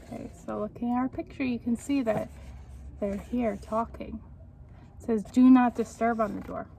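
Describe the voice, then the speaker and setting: A woman reading a children's picture book aloud in an expressive, encouraging, teacherly way, pausing to put questions to young listeners. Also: fairly high pitch, vocal fry, a little hiss or hum, rough recording.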